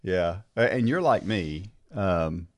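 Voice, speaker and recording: A man's voice: sits low, lively intonation, slow pace, no echo, clean studio sound. A man hosting a casual talk show, chatty and encouraging, often restarting his sentences and tagging them with "right?". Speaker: podcast host. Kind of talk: casual chat.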